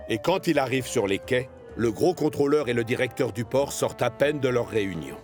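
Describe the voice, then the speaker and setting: Un homme narrant un dessin animé pour enfants, avec un ton de conte animé. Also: male voice, medium pitch, expressive, a little fast. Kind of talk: scripted storybook narration. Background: music.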